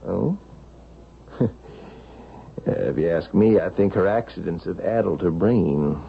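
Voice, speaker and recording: An actor playing a scheming, smooth-talking husband in a vintage radio drama, coolly polite and insinuating. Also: male voice, low voice, a little slow. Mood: dismissive. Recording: dull, noisy old radio recording.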